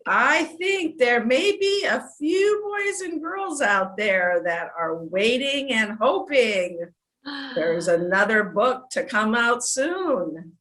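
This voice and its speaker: A woman's voice: a little high, animated, takes it slowly, fairly bright tone, poor audio. A woman hosting a children's storytime show, chatting enthusiastically with lots of exclamations. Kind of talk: casual chat.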